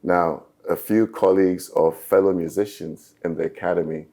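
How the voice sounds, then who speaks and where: Deep gravelly Nigerian-born man. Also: steady tone, warm tone. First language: Yoruba. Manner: earnest, upbeat, speaks in short measured phrases. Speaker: musician guest. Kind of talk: reflective interview talk.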